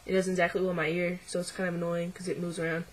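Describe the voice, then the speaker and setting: A young man speaks into his camera at home, voice in the middle of deepening and not quite settled, pitched in the middle and fairly flat. He is hesitant and reflective, filling pauses with ums and likes. Faint noise hums behind him. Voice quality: voice midway through deepening, a bit unsettled. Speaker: vlogger. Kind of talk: casual personal video diary.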